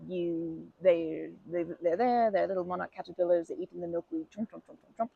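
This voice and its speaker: A woman voicing a kindly, chatty old grandma who rambles gently in a folksy, soothing way for an ASMR comfort roleplay. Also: on the low side, brisk, no echo, narrow, tinny audio, pitched below the performer's own voice. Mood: chatty, fond. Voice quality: creaky, breathy.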